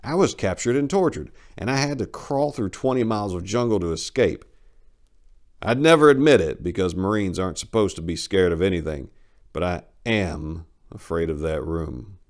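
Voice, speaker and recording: A man's voice: low voice, expressive, moderate pace. A man voicing a big middle-aged Vietnam veteran in a dramatic story reading, plain-spoken, confessional and sardonic, haunted but dryly wry. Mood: uneasy, confessional. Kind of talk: first-person dramatic short-story reading.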